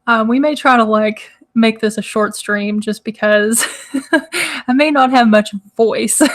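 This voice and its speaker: Hoarse, nasal woman streamer. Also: on the high side, slow pace. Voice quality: crackly, nasal. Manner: chatty, rambling, laughs often. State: hoarse, congested. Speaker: host. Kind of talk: casual live stream chat. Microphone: microphone.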